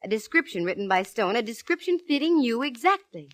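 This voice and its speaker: A woman's voice: fairly high pitch, sing-song, fairly quick. An American actress playing a spirited, lovestruck woman private eye in a 1940s radio drama. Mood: brisk, sleuthing. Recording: vintage radio recording, organ music.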